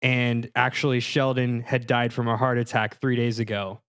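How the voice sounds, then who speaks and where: A man's voice: mid-range voice, flat delivery, moderate pace, fairly bright tone. A man hosting a podcast discussion in a mock-serious, conversational way. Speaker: podcast host.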